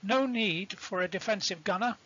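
High-pitched, husky man explaining, lo-fi recording. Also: moderate pace, sharp tone. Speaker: instructor presenting a sailing tutorial. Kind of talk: explanatory lecture narration.

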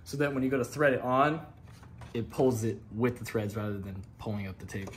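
A man's voice: mid-range voice, a little fast. A young American man working as a handyman helper, explaining things casually and jokingly to a friend. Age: young adult. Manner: joking, informal. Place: garage, leaning over truck engine bay. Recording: noisy garage, handheld camera sound.

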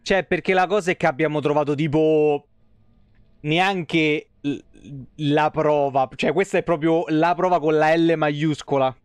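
A man streams a game in a fairly high, smooth voice. He talks colloquially, grumbles and swears freely, recorded close and dry. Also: fairly even intonation, measured pace, dry recording. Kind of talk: casual live game commentary. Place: small indoor room.

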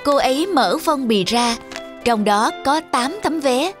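A woman's voice: sits high, lively intonation, takes it slowly. A woman giving scripted narration of a children's story. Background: music.

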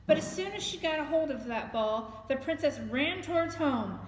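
High-voiced American woman in an echoey room. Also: animated, normal speed. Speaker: children's librarian reading a story. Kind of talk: animated storytime read-aloud for children. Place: library room with bookshelves. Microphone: camera a few meters away. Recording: echoey room, distant camera, faint noise.

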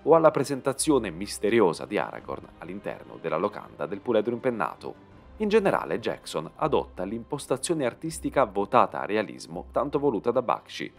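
A man narrating a scripted video essay with emphatic, dramatic phrasing. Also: lowish voice. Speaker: narrator and channel host. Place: voice-over recording. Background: background music.

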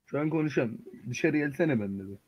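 A man's voice: a little high, lively intonation, fast talker, highs cut off. A man talking in online game roleplay in a curt, threatening manner.

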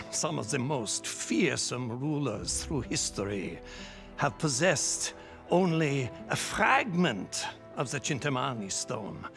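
An actor playing a wise old man, a grave mentor in a video game, speaking formally and with measured weight. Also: medium pitch, sing-song, full character voice. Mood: solemn, urgent. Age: older. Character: old male expedition survivor, wise, grave village mentor. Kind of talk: dramatic video game cutscene acting. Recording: clear music under the dialogue.

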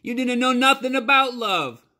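Storybook boy, grief-stricken, defiant, folksy grammar, high-pitched, acted by a man. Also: normal speed, exaggerated voice. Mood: anguished, angry. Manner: folksy ungrammatical speech, pleading and shouting. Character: grief-stricken poor boy, anguished, defiant and fiercely loving.

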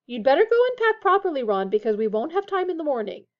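A woman reading a storybook and voicing a brisk, shrill middle-aged mother of a big family, quick to scold. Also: very animated, highs cut off.

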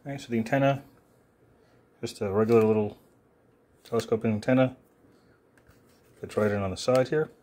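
A man with a lowish voice talks through a gadget demonstration. He is offhand and halting, thinking aloud in an unscripted, casual way while recording on a phone. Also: fairly even intonation, a little mellow. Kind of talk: casual unscripted product demo. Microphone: phone held near the hands filming.

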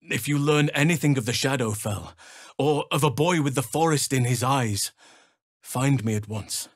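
A man voicing a stoic, earnest druid devoted to nature in a video game, speaking in measured, formal fantasy phrasing. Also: medium pitch, no echo, clean studio sound, natural voice. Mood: resolute. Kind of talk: video game character voice lines.

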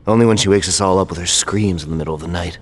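A man's voice: deep voice, racing, slightly rough. A male actor voicing a weary, remorseful former thrall who confides his troubles, in a video game. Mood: troubled, confiding. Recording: long reverb, faint noise.

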